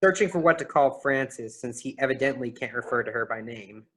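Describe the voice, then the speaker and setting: A man's voice: mid-range voice, brisk, fairly bright tone. A man reading stage directions as scripted narration at a play reading.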